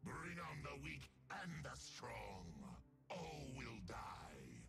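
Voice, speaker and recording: A man voicing a gleefully savage demonic weapon spirit in a video game, gravelly, theatrical and taunting, savoring each word. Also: medium pitch, huge pitch swings, slow, exaggerated voice. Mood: wrathful. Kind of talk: video game character voice lines. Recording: clear music under the lines.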